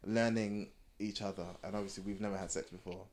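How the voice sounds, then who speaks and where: A man's voice: on the low side, fairly even intonation, fast, darkish tone. A young man chatting casually and candidly as a podcast co-host, repeating phrases as he explains. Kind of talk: casual, candid group chat.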